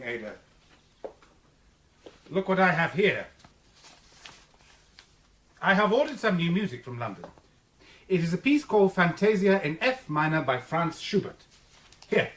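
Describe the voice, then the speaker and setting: An actor in a radio drama playing an earnest piano teacher, courteous but insistent, with a precise, instructive way of talking. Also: male voice, high-pitched, big pitch swings, a little slow, long pauses, fairly bright tone, full character voice. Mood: gently coaxing.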